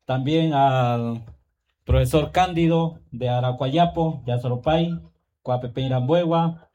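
A community leader at a public event who greets the authorities one by one, mixing in another language, with halting hesitations. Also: male voice, medium pitch, dark tone, highs cut off.

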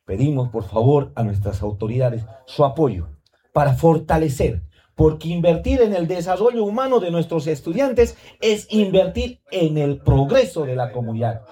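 Male school director, formal event speech, earnest and pleading, mellow tone. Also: fairly high pitch, expressive, normal speed. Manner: earnest, pleading, repeats phrases with tag questions.

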